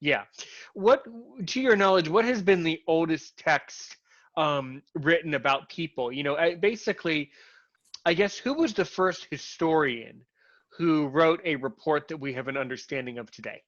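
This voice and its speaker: A man's voice: fairly high pitch, lively intonation, moderate pace, fairly bright tone, no echo. A man hosting a casual conversation, who rambles and restarts his sentences a lot. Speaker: host. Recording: muffled, lo-fi, dry sound.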